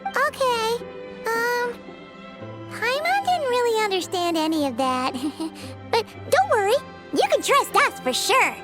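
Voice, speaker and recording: Tiny, squeaky, excitable fairy companion. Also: female voice, slow, heavily acted. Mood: cheerful, eager. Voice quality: squeaky, childlike. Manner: refers to self in third person, exclaiming. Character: tiny childlike floating companion, chirpy and excitable. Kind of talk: video game voice acting. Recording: music behind the voice.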